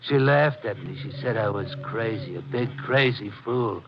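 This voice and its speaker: Big unstable killer, played by a male actor, wounded and halting, vintage radio thriller, muffled noisy recording. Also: lowish voice, close to an everyday voice. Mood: wounded, brooding. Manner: halting, repetitive, childlike phrasing. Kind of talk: 1940s radio drama acting.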